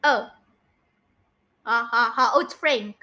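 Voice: medium pitch, takes it slowly, pushed and bright. A young podcast host, sounding like a child or young teen, running a casual quiz chat and bossily correcting a co-host.

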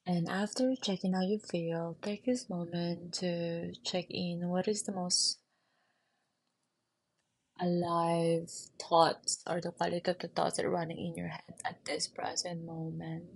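A Filipino woman hosting her own podcast from her bed, with a Filipino accent, speaking softly and soothingly and guiding the listener through a gentle check-in. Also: fairly low pitch, level tone, vocal fry, breathy edge, poor audio. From Philippines. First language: Tagalog. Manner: soft and soothing, slow, with frequent small laughs. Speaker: podcast host. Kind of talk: gentle guided reflection and casual chat. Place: bedroom, sitting on the bed.

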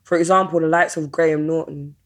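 Casual podcast host: woman, very low-pitched voice, informal and slangy in a chatty interview. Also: fairly even intonation, brisk.

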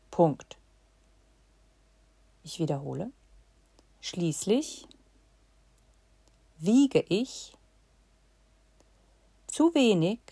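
Very slow, lively-voiced woman dictating. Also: lowish voice, no room sound. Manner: reads in short chunks and says punctuation aloud. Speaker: teacher giving a dictation.

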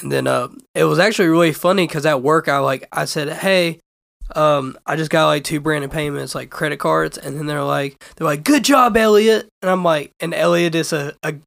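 Hoarse raspy young man hosting. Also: a little high, moderate pace, a bit bright, dry recording, clean studio sound. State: hoarse, lost voice. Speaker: podcast host. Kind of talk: casual chat.

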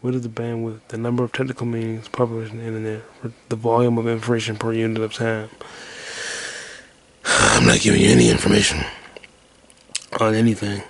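A man in his twenties rambling alone on his podcast in a detached, low-energy, half-whispered way. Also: moderate pace, vocal fry, pauses often. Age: 20s. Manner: low-key, detached, mumbling. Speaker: podcast host. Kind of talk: rambling solo podcast monologue.

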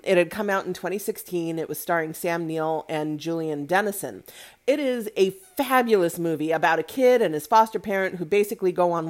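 A woman hosting a casual, opinionated solo movie commentary, talking in a chatty, candid way with conversational asides. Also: lowish voice. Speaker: podcast host giving movie reviews.

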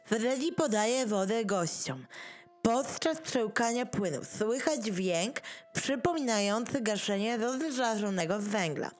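Casting: female narrator for an audio play, high voice, unhurried scripted reading over faint music. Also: lively intonation.

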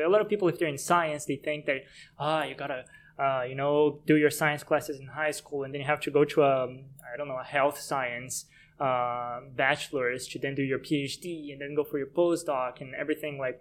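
A young man from Brazil hosting a podcast and interviewing in a casual, conversational way. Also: a little high. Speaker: podcast host.

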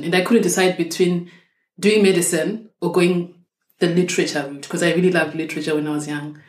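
Young woman from Zimbabwe, interview guest, lowish voice, roomy echo, thoughtful explainer. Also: darkish tone. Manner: thoughtful, explains at length with restarts. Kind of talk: casual interview conversation. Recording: echoey room.

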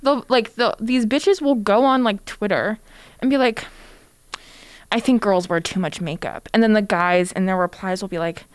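A woman's voice: mid-range voice, normal speed, clean tone, dry recording. A young woman co-hosting a podcast, chatting casually in a blunt, sarcastic way with lots of "like". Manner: blunt, sarcastic, heavy use of "like". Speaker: podcast co-host.

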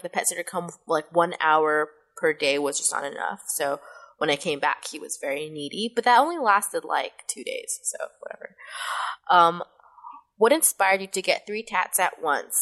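Podcast host, woman, youthful kid-like voice but pitched a bit low, first language Mandarin, rambly and chatty. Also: normal speed, a bit bright. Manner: chatty, rambling, laughs often. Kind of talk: casual podcast chat.

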